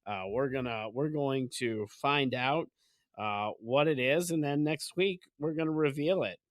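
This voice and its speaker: A man's voice: mid-range voice, unhurried, dry recording, studio quality. A man co-hosting a comedy podcast, rambling casually with lots of fillers and frequent laughter. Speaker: podcast co-host.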